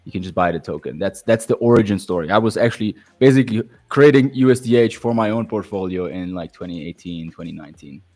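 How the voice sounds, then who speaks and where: A man on a casual podcast, explaining his product with relaxed restarts. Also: lowish voice, fast, hardly any pauses. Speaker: guest, crypto founder.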